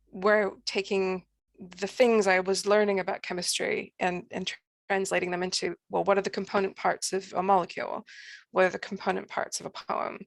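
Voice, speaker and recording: A woman speaking as a poet on a video call, explaining her work in a reflective, halting way. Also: mid-range voice, gravelly, no room sound. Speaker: poet and co-author at a book launch. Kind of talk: informal explanation of own work. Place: online event, on a video call.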